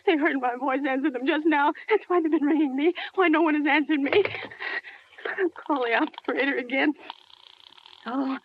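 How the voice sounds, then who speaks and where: An actress playing a nervous, demanding wife alone at night in a 1940s radio thriller, agitated and repeating herself. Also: female voice, sits high, normal speed, long pauses, close to an everyday voice. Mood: anxious. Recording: dull, noisy vintage radio recording.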